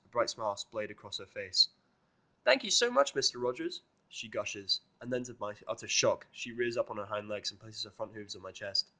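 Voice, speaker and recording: A man narrates a first-person story with a sardonic, expressive delivery. His voice sits fairly low and has a very forceful, bright edge, like an amateur audiobook reader putting feeling into it. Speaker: fan fiction reader and narrator.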